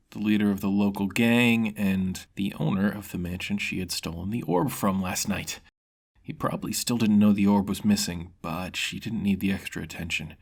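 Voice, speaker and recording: A man's voice: fairly low pitch, creaky, husky. A man narrating a fantasy story in a casual storyteller's style.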